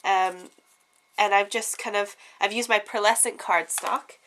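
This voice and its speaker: A woman's voice: mid-range voice, steady tone, a little slow, a bit bright, slight background noise. A young woman with a stuffy head cold, chatting casually and giggling through a craft show-and-tell at home. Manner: chatty, giggly, lots of ums. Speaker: craft vlogger.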